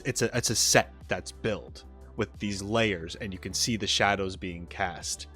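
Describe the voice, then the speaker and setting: Low-voiced man giving enthusiastic reaction commentary. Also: a bit bright. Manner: enthusiastic, emphatic, drawn-out stressed words. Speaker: video host, animator reacting to animation.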